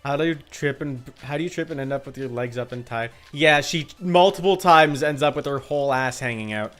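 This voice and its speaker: A man's voice: mid-range voice, a little fast, a bit bright. A man streaming a game and chatting casually, giving emphatic, opinionated commentary. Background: game music.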